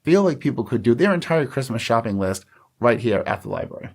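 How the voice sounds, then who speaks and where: A man's voice: mid-range voice, big pitch swings, brisk, gravelly, slightly rough. A man co-hosting a library podcast in casual, joking chat. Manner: chatty, joking, with laughs and restarts. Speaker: podcast co-host.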